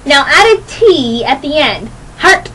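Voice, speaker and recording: High-voiced young woman rambling playfully on air. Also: unhurried, slight background noise, poor audio. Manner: chatty, playful, animated, giggly. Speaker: radio host. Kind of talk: rambling casual monologue to listeners.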